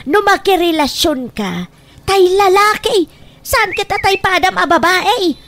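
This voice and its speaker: A woman playing a scolding, exasperated mother of a grown daughter, loud and forceful in a comedy radio drama. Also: extremely high pitch, lively intonation, measured pace, exaggerated voice. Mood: angry, scolding.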